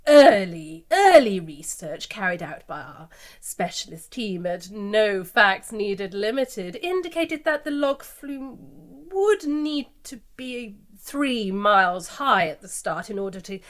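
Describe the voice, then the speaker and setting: A woman's voice: medium pitch, animated, takes it slowly. An actress playing a breezy, evasive company spokeswoman in a satirical mock news interview, airy and confident as she glosses over problems. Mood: evasive, enthusiastic. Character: breezy, evasive female company spokeswoman pitching an absurd scheme.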